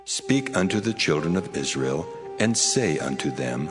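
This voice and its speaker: A man performs a grave male deity in a scripture audio drama. The voice is extremely deep and commanding, with music clearly behind it. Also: lively intonation, unhurried.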